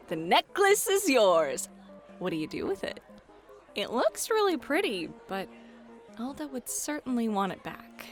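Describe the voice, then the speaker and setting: A woman playing a playful, flirty club hostess and game master in an ASMR roleplay, bubbly, teasing and expressive. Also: high-pitched, exaggerated voice. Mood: playful, excited. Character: playful, flirty club hostess running tabletop adventure games. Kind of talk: scripted ASMR roleplay, acted. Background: background music.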